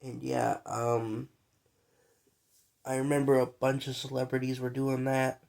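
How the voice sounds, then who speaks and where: A man's voice: medium pitch, completely flat delivery, measured pace, vocal fry, a little mellow. A young man who is sick and coughs now and then, talking in a loose, rambling, slangy vlog monologue full of fillers. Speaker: vlogger.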